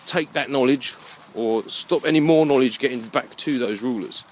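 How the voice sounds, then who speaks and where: A man giving a rambling, unscripted monologue in a halting, musing way, often repeating his phrases. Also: mid-range voice, slight background noise. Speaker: vlogger.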